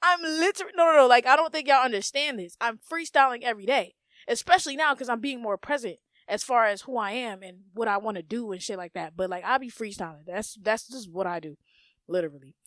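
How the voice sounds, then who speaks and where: A woman's voice: fairly high pitch, a little fast, fairly bright tone, dry recording. A young American woman from a Haitian family hosts a solo podcast, talking bluntly and profanely and repeating her points for emphasis. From United States, Haitian family. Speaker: podcast host. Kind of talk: casual solo podcast monologue.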